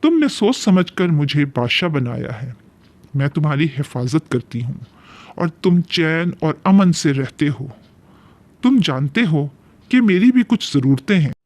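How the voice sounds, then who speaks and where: Man acting as a big female eagle monarch, somewhat high male pitch, sly, speechifying, persuasive, cartoon fable. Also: sing-song, a little slow, darkish tone, no room sound. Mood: regal, demanding. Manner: grand, persuasive speech-making. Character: big, powerful, sly female eagle who rules pigeons. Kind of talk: acted cartoon fairy-tale dialogue.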